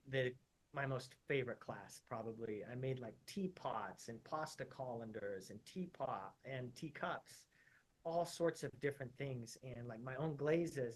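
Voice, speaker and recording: Laid-back male surgeon in his thirties, from Utah, chatty interview guest who peppers his answers with "like", mid-range voice. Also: medium pitch. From Utah, USA. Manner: relaxed, chatty, uses "like" often.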